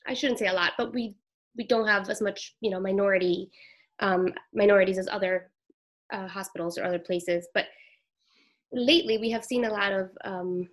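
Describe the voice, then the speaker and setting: Woman, middle-aged, from Peru, nurse, halting, soft-spoken, medium pitch. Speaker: emergency room nurse. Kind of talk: interview, personal account.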